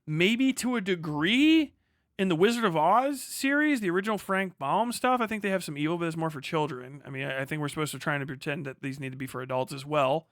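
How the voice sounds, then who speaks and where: A man's voice: a little high, a little fast, fairly bright tone, dry recording, studio quality. A man delivering an opinionated, digressive livestream monologue, swearing freely as he goes. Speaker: livestream host. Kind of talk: rambling livestream monologue on film and culture.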